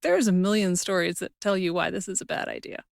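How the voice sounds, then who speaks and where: A woman's voice: on the low side, brisk, vocal fry, dry recording, studio quality. A woman hosting a podcast, musing aloud in a casual, conversational interview style. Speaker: podcast host.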